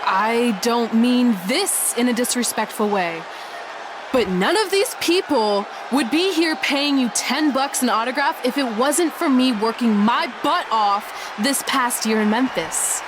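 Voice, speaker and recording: A woman's voice: a little high, animated, a little slow. An actress playing a driven, assertive young female indie wrestler in a video game cutscene. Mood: bold, challenging. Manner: assertive, challenging. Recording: clearly noisy ambience.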